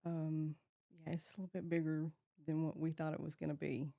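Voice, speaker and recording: A low, gravelly woman in her fifties talks easily as a podcast guest. She laughs a lot and lets her sentences trail off before picking them back up. The sound is close and dry. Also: flat delivery, a little fast, warm tone, no room sound. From Texas, USA. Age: 50s. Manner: laughs often, trails off and restarts. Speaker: guest, shop owner. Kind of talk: casual interview chat.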